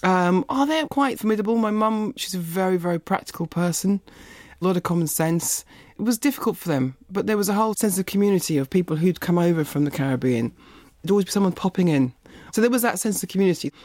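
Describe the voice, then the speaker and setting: A woman's voice: fairly low pitch, animated, fast talker, a little mellow, no echo, studio quality. A middle-aged woman, from Barbados and raised in East London, speaking as an interview guest and telling reflective stories about her childhood. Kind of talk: reflective conversational storytelling.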